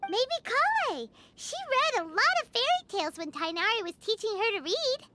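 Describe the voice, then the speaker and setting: A woman voicing a tiny, cheeky, opinionated fairy companion in a video game, with a squeaky childlike voice and a blunt, chatty manner. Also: sing-song, moderate pace, background noise. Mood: thoughtful. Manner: third-person self-reference, blunt, chatty. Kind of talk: video game character acting. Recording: loud children's music behind. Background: tinkling children's music.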